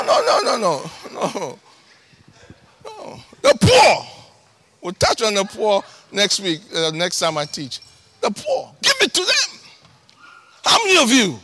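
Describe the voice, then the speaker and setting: A man with a Ghanaian accent preaching a sermon as pastor, animated, breaking into shouts and sing-song emphasis. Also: high voice, vocal fry, slightly rough.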